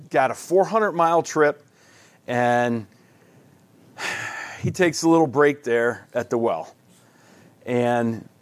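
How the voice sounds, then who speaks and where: A man leading a Bible study in a casual, folksy, conversational way, often restarting his sentences. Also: a little high.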